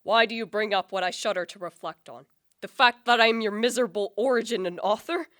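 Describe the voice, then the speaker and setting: Woman playing a bright-voiced, tormented young scientist. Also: mid-range voice, brisk, no room sound, clean studio sound, natural voice. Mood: heavy-hearted. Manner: formal, literary, declamatory. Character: tormented young male scientist, haughty, wrathful, guilt-ridden creator. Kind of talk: dramatic radio play acting with first-person narration.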